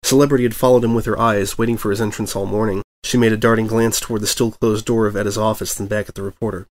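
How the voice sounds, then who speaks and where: A man's voice: lowish voice, level tone, fast. A man reading a story aloud as narrator in a scripted storytelling style. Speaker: fan fiction reader, narrator.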